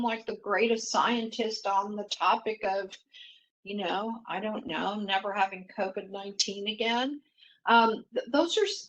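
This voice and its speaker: A middle-aged woman from Mexico, a native Spanish speaker, speaking as a panelist in a thoughtful, measured way with restarts. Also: fairly high pitch, rough recording. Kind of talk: panel discussion.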